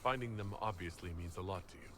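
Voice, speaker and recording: Cool-headed hero leader, fantasy game, low voice, clipped, quick, noisy background. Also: male voice, pushed and bright. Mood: calm, questioning. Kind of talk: video game dialogue. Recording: clearly noisy game audio.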